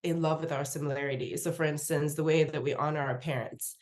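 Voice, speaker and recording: A woman from Nigeria, a guest on a podcast, telling a story in a chatty, animated way, laughing often and acting out what people said. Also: low-pitched, quick pace, a little hiss or hum. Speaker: podcast guest, research professor. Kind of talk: casual interview storytelling.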